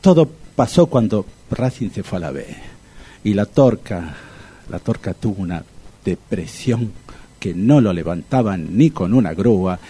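An actor as a naive, nervous man in a comic radio play, with a Rioplatense Argentine accent. His voice is husky and swings widely in pitch. He stammers, interrupts and blurts things out warily. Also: lively intonation, unhurried, warm tone. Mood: earnest, blurting. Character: naive, nervous, wary man who blurts out awkward remarks.

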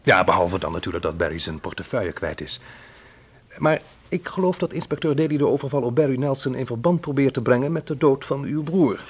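Husky, uneasy gentleman club owner. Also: medium pitch, lively intonation, brisk. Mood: defensive. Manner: hesitant, formal, careful. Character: polite, uneasy club owner defending himself. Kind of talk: radio play acting.

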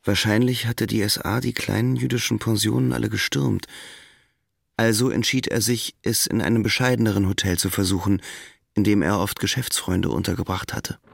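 A man narrating a literary audio drama in a measured, sober way. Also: lowish voice, steady tone, creaky, no echo, clean studio sound.

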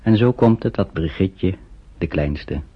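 An actor playing a sober, concerned narrator in a radio play, with a husky, gravelly voice. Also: male voice, low voice, unhurried, full character voice. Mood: sober, probing. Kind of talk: scripted dramatic narration. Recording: dull old radio recording.

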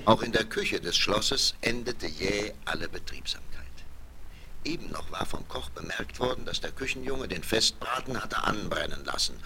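Deep voiced man narrating fairy tale, dramatic, super animated and crisp edged. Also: background noise. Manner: theatrical, measured storytelling.

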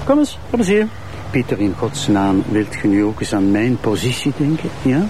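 Lively Flemish public prosecutor. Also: male voice, on the low side, normal speed, noisy background. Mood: firm, exasperated. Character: authoritative public prosecutor, smooth-talking but exasperated man. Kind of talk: naturalistic TV crime drama acting.

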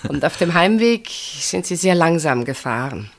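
An actress playing a reserved, tender woman in an intimate radio play, speaking in a gentle, measured, earnest way. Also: low voice, expressive, exaggerated voice. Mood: tender, nostalgic. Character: reserved, tender woman named Marianne, quietly devoted.

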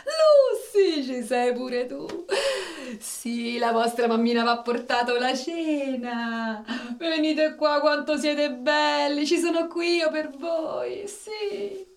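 An actress playing a doting carer of stray cats, cooing to them in a radio play. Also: female voice, high-pitched, expressive, takes it slowly, distant mic, heavily acted. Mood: cooing affection.

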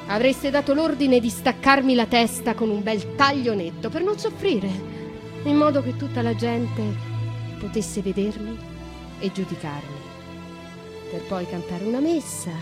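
An actress in a radio play voicing a young noblewoman who confesses to killing her father, speaking intensely, dropping to a hush and rising to impassioned outbursts. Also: fairly high pitch. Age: young adult. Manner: intense, shifts from hushed to impassioned. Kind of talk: dramatic radio monologue.

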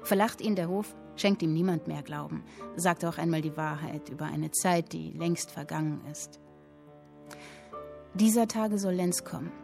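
Earnest, low-voiced young woman. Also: normal speed, vocal fry, warm tone, soft background music. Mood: scornful, resolute. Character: earnest young woman writing and reading her story. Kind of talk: dramatic literary reading and radio drama acting.

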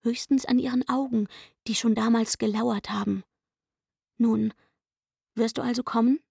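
A woman's voice: fairly high pitch, fairly even intonation, normal speed, gravelly, mellow, slightly rough, dry recording, studio quality. An actress playing a coaxing, wistful young working girl in a radio play, confiding and eager as she speaks. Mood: coaxing, persuasive. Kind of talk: literary radio play acting.

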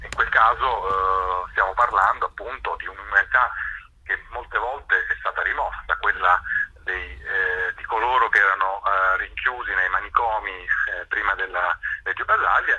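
A man's voice: low-pitched, sharp tone, husky. A man organizing cultural events, explaining his project by phone and stumbling over repeated words. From Italy. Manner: hesitant, many repeated words and fillers. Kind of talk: phone interview. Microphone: telephone. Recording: lo-fi phone line.

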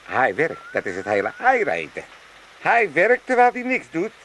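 A scheming old father from a retirement home in a comic radio play. He grumbles and blusters, with a raised pitch that swings freely. A light noise sits on the recording. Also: wildly swooping pitch, moderate pace, a bit bright, poor audio. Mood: sarcastic, scornful. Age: older.

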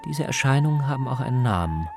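A man's voice: medium pitch, huge pitch swings, fast, over music. A man speaking as a reporter, reading scripted narration for a radio feature.

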